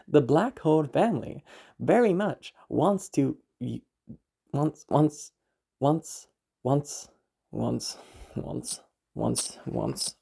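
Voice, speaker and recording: A woman voicing a formal, courteous magical storytelling machine in a husky, measured, theatrical narration. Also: low-pitched, mellow, heavily acted. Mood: glitching, menacing. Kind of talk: scripted narration.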